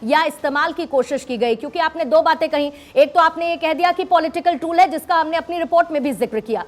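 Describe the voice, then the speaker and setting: A woman with a very high-pitched voice works as a television news interviewer. She presses her guest insistently, framing pointed questions in a rhetorical debate style. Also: fast.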